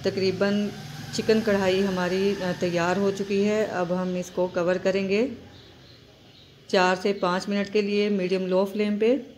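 A woman hosting a home cooking channel, calmly narrating each recipe step and repeating key points. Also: medium pitch, unhurried, slight background noise. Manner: steady, instructive, repeats steps.

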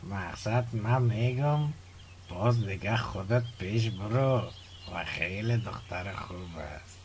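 A man's voice: fairly low pitch, darkish tone, slight background noise, natural voice. An actor playing a friendly, gossipy village man in a radio drama, speaking Dari with an Afghan accent. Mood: confiding.

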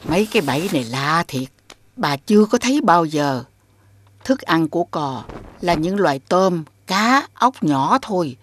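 Low-voiced, kindly old grandmother. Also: animated, measured pace. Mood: concerned, advising. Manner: gentle, instructive. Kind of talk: children's animated cartoon acting. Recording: music behind the voice.

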